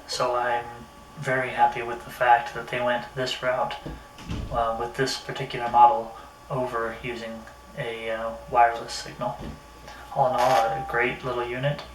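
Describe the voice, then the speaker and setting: A man talks through a casual hands-on review in a middling pitch. His delivery is halting, as if thinking aloud. He sounds set back from the mic in a roomy, echoey space. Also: completely flat delivery. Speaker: product reviewer. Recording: roomy echo, distant mic, faint noise.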